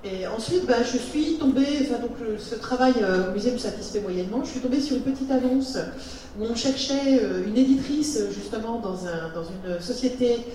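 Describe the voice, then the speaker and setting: A woman's voice: fairly high pitch, normal speed, warm tone, slight background noise. A woman interpreting live between English and French, hesitant with many fillers. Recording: echoey hall, far from the mic.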